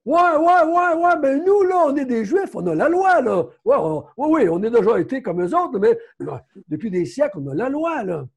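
A man's voice: very high voice, very animated, normal speed, slightly rough, pitched above the performer's own voice, full character voice. A man putting on a smug, boastful churchgoer.

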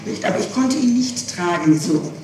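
Woman interviewee, testimony style, halting delivery with repetitions, noisy archival tape with a far microphone. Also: lowish voice, expressive. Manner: halting, repeats words while explaining. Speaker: person being questioned by police. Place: reverberant room, taped police interrogation. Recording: echoey, noisy old tape, distant mic.

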